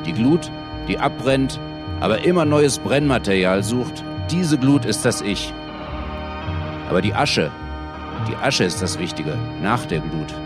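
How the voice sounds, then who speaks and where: A man's voice: lowish voice, sing-song, unhurried, long pauses, music in the background. A man reading scripted literary narration as the narrator of an audio drama.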